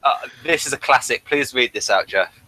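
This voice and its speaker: Bright-voiced man hosting a casual review. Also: medium pitch, moderate pace, no room sound, rough recording. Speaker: podcast host.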